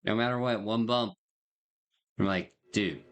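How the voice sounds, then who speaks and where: A man's voice: lowish voice, steady tone, unhurried. A man giving slangy, rambling livestream commentary as he plays a game. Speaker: game streamer. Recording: game music behind voice.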